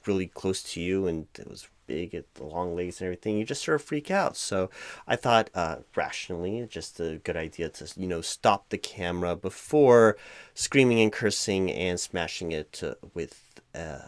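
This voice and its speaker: A man playing a flustered, distracted hiring manager in a comedic audio roleplay, speaking in a halting, stammering, awkwardly formal way. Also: low-pitched, lively intonation, dry recording. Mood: rattled, hesitant. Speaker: performer playing a job interviewer. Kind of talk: one-sided comedic audio roleplay, loud spoken.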